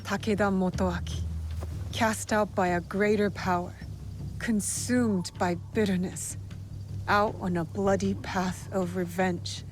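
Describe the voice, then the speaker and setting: A woman's voice: medium pitch, fairly even intonation, slow pace, background noise, close to an everyday voice. A woman voicing a resolute young female assassin in a video game, terse, solemn and stern. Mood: grim resolve. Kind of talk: dramatic video game acting.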